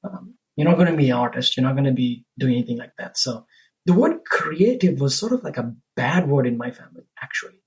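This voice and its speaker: A man's voice: mid-range voice, lively intonation, fairly quick, darkish tone, poor audio. A man from Sri Lanka, a podcast guest thinking aloud in casual conversation, often checking in with "right?" and "you know".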